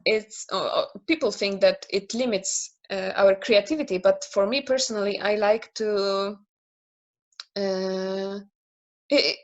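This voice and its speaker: A woman's voice: mid-range voice, takes it slowly, dull audio. A woman in her thirties with a Polish accent, speaking English as a second language as an interview guest on a video call, hesitant with many fillers and restarts. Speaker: interview guest, naming specialist. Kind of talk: casual interview conversation.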